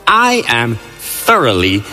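A man's voice: mid-range voice, wildly swooping pitch, bright, forceful tone, faint music behind. Emphatic man in his forties, echoing hall. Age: 40s. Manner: deliberate, emphatic, dramatic pauses. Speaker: public speaker. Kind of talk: personal talk to an audience. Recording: echoey hall reverb.

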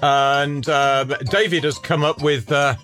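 A man's voice: a little high, fairly even intonation, slow pace, bright, forceful tone, faint music behind. A man in his sixties, co-hosting a radio show with hesitant, chuckling banter full of fillers. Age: 60s. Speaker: radio co-host. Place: radio studio.

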